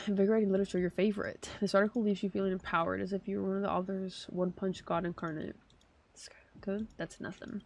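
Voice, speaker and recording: A woman's voice: mid-range voice, flat delivery, quick pace, a little mellow. A woman streamer reading game text aloud and reacting casually, in an irreverent, slangy way.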